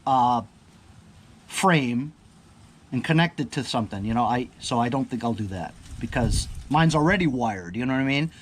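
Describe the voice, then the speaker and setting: A man's voice: medium pitch, animated, normal speed. A man doing a casual, unscripted product unboxing, rambling and thinking aloud while often checking in with the viewer. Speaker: product reviewer. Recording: clear background ambient noise.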